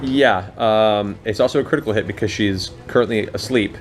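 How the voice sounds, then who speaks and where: A man's voice: lowish voice, moderate pace. A man narrating a tabletop fantasy game as game master, with vivid descriptions and casual asides. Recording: loud music behind the voice.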